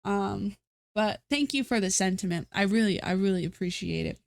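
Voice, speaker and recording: A woman's voice: mid-range voice, normal speed, creaky, no room sound. Rambling, stuffy-nosed woman streamer. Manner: rambling, self-mocking, many fillers and restarts. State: stuffy nose. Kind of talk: casual live-stream chat.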